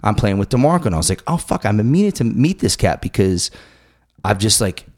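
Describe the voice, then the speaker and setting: A middle-aged man with a lowish voice hosts a relaxed conversation. He rambles through his stories, restarting sentences and swearing casually. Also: fairly quick. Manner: loose, profane, rambling storyteller. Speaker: podcast host. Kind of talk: casual interview chat.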